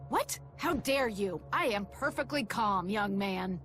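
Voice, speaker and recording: A woman's voice: medium pitch, lively intonation, unhurried, fairly bright tone, soft background music. An actress playing an outspoken, easily indignant married townswoman in a video game, with a blunt, dramatic way of talking. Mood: reproachful. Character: indignant, outspoken married woman gathering herbs. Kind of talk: video game dialogue acting.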